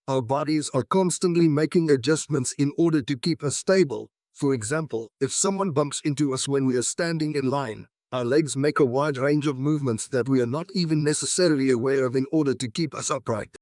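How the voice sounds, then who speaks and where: A man's voice: medium pitch, brisk, few pauses, darkish tone, no echo, clean studio sound. A man reading a scripted practice passage aloud for English test learners.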